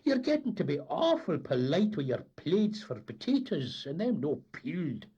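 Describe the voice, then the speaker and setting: A man's voice: fairly high pitch, sing-song, moderate pace, mellow, breathy edge, pitched above the performer's own voice. A chirpy ship's cook with a raised, bouncing voice, as a man reads him. He spins excuses in a hearty, sing-song way. The recording is dull and lo-fi. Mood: jaunty. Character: cheerful Scottish ship's cook, grown man.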